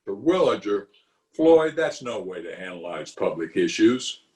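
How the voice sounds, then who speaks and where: A man's voice: on the low side, big pitch swings, a little slow, mellow, roomy sound. A man playing a pompous, easily exasperated middle-aged official in an old-time radio comedy, recorded at home over a video call. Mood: pushy. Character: blustery, exasperated middle-aged male water commissioner, pompous and opinionated.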